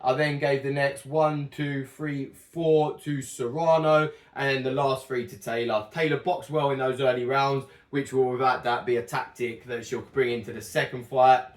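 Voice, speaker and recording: A man's voice: medium pitch, steady tone, moderate pace, few pauses. A young man chatting casually and rambling through a fight prediction, correcting himself often, his voice a little worn. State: slightly losing his voice. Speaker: boxing channel host. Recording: echoey room.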